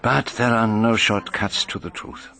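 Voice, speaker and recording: An actor playing a shrewd foreign detective, measured and formal, in a radio drama. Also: male voice, on the low side, fairly even intonation, creaky, sharp tone, exaggerated voice. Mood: pensive. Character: shrewd, courteous foreign male detective, inwardly brooding. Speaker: detective narrating his own case. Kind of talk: radio drama narration and dialogue.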